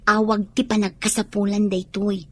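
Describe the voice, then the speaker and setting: An actress with a Filipino accent playing a scheming young college student in a radio drama. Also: female voice, medium pitch, level tone, moderate pace, lightly acted. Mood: sly. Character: scheming young college woman feigning injury for money. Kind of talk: Ilocano radio drama acting.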